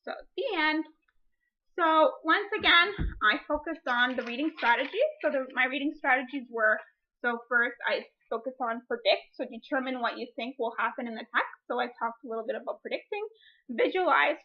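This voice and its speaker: A woman speaking as a teacher, reading a picture book expressively and explaining reading strategies. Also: high voice. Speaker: teacher demonstrating a read-aloud. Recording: dull lo-fi recording.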